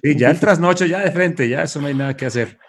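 A man's voice: a little high, fast talker. A man hosting a business podcast, upbeat and encouraging as he introduces segments. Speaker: podcast host.

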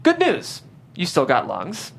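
A woman's voice: fairly low pitch, wildly swooping pitch, slow, creaky, full character voice. A woman voicing a jovial, wisecracking middle-aged male doctor in a script read. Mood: chipper.

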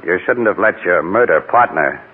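An American actor playing a shrewd, confident news photographer detective, brisk and wry, in old radio crime drama style on an old recording. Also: male voice, sits low, lively intonation, breathy edge, phone-quality sound. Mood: knowing, probing.

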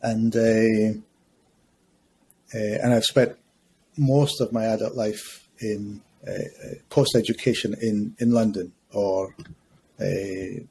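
A man in his fifties, a longtime educator, speaking as a podcast guest in a measured, reflective way with frequent hesitations. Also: fairly low pitch, steady tone, dark tone, slight background noise. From Scotland. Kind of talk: conversational podcast interview.

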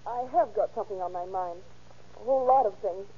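A woman's voice: medium pitch, a little fast, warm tone, slightly rough, lightly acted. An actress playing an anxious, torn young woman with a hesitant, earnest manner in old radio melodrama style. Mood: defiant, upset. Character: anxious, torn young woman resisting her possessive boyfriend. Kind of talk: 1940s radio melodrama acting. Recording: muffled noisy old radio recording.

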